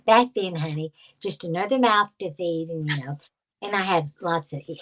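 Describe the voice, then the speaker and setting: Older woman, low-ish voice, casual guest, reflective storyteller who restarts often. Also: normal speed, fairly bright tone, slight background noise, low-quality recording. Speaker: guest psychic reader. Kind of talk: casual conversation.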